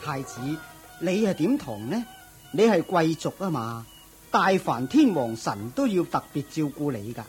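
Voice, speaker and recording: A man's voice: fairly high pitch, sing-song, normal speed, a little mellow, soft background music. A man playing a dutiful royal prime minister in a Cantonese audio drama, deferential to his master and dismissive of commoners.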